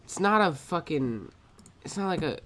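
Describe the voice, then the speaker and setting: A man's voice: on the high side, big pitch swings, moderate pace, bright, forceful tone, a little hiss or hum. A man with a sniffly nose, reviewing his own trades aloud in a casual, profane recap, often muttering to himself.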